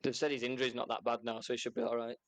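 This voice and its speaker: A man co-hosts the podcast, talking quickly in a flat, even tone. He drily teases the others. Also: mid-range voice. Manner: dry, teasing. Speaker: podcast co-host.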